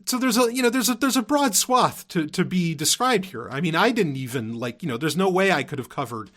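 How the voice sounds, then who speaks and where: A man's voice: sits high, animated, fairly quick, a bit bright. A man hosting a podcast in a casual, unscripted monologue, rambling and emphatic, often repeating himself and swearing casually. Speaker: podcast host.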